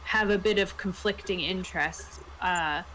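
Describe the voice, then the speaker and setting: A woman's voice: lowish voice, unhurried, a bit bright, a little hiss or hum, poor audio. A woman in a casual chat, asking questions as a player in a tabletop game. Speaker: player interviewing the game master.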